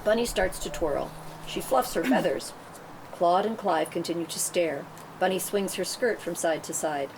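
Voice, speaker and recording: Female narrator for a script table read, lowish voice, stage directions, clearly noisy room. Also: moderate pace.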